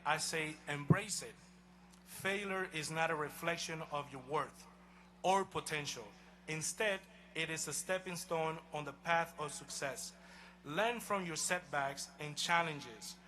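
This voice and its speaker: A man from Honduras with a Honduran Spanish accent, a college president giving a measured, emphatic commencement speech at an outdoor stadium. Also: a little high, pushed and bright, poor audio. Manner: measured, emphatic address to a crowd.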